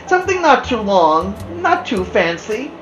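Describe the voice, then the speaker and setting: A dainty newborn spider from a children's storybook , put on by a woman. She is eager and childlike, pitched well up and swooping, with a roomy echo. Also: sing-song, measured pace, highs cut off, a little hiss or hum. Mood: curious, eager. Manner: bright-eyed, eager, childlike. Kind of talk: children's storybook character reading.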